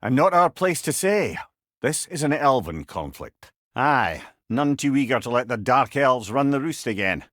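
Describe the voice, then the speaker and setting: A man's voice: medium pitch, big pitch swings, normal speed, fairly bright tone, dry recording, clean studio sound. An actor playing a wise, witty old Scottish man, an avuncular storytelling companion in a video game, speaking in an articulate, wry way. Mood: grave storytelling. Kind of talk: scripted video game voice lines.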